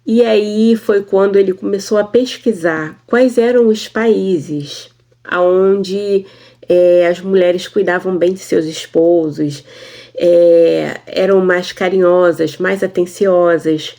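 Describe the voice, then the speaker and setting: A woman's voice: lowish voice, steady tone, slow, mellow. A woman from Brazil telling her story casually to camera, often laughing and explaining things for her husband. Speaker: vlogger.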